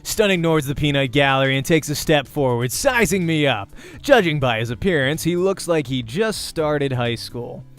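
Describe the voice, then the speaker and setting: A man's voice: on the high side, lively intonation, normal speed, faint music behind. A man reading a visual novel aloud as dramatic narration, sometimes stumbling over words and correcting himself. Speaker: let's play host and voice actor reading a visual novel.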